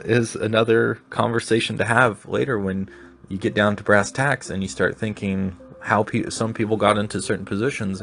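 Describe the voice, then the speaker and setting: A man's voice: fairly low pitch, vocal fry. A man hosting his own solo podcast, talking reflectively and rambling a little with restarts. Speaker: podcast host.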